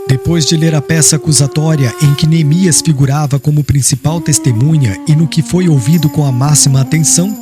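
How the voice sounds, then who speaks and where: A male narrator reads literary prose solemnly and formally, with dramatic phrasing. His voice sits a little high and moves widely in pitch. Music plays clearly underneath. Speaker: audiobook narrator.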